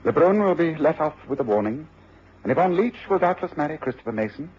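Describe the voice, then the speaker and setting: An assured, precise detective for radio drama; animated intonation, dulled old recording. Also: male voice, on the high side, brisk, husky, dull audio. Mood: assured, satisfied. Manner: authoritative, didactic, precise.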